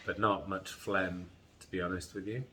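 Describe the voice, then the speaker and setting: A middle-aged man with a congested head and groggy chest, slowly and haltingly describing his illness in a personal vlog. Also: low voice, flat delivery, noisy background. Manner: slow, halting, tired recounting. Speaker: vlogger.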